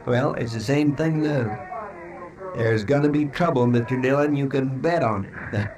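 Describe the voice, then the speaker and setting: A man's voice: medium pitch, a little slow, close to an everyday voice, poor audio. A man acting an anxious, folksy American sidekick in an old Western radio drama, with a rustic, fretful drawl. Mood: foreboding, insistent. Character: anxious, folksy male sidekick of a frontier marshal.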